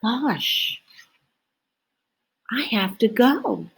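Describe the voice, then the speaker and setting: A woman voicing a small, sweet, eager young male owl in a storybook, earnest and gentle. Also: on the high side, lively intonation, drawn-out words, gravelly, breathy edge, heavily acted. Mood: excited.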